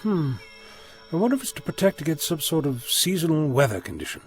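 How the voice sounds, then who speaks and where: An eccentric genius time traveller acted by a man in an audio drama. He is breezy, wordy and wry, with a very lively pitch, and faint music plays behind him. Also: a little fast. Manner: wordy, breezy, gently lecturing.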